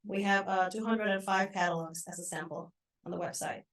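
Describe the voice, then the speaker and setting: A woman presenting at an academic conference, brisk and rushing to finish on time. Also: mid-range voice, fairly even intonation. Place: conference room.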